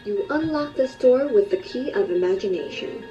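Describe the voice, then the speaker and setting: A woman's voice: a little high, animated, normal speed, dark tone. Woman narrating over music, distant and muffled. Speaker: video narrator. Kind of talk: scripted narration. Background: background music.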